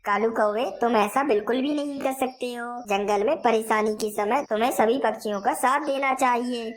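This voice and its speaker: A woman's voice: fairly high pitch, normal speed, close to an everyday voice. A woman voicing a fair, concerned parrot king with a formal, earnest manner in a children's cartoon. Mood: firm.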